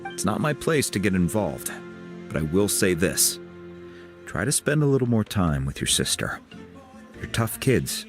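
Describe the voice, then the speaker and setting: Fatherly older mentor, deep pitch, gruffly kind, measured, clear music behind, video game acting. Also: sing-song. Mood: wry, caring. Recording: music under the voice.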